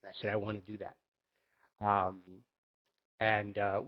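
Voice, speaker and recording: A man in his forties, born in Argentina and raised in Miami, telling his life story as a guest, thoughtful and halting, often restarting his sentences. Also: on the low side, completely flat delivery, muffled recording. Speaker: guest, yoga instructor and coach. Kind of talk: reflective personal storytelling.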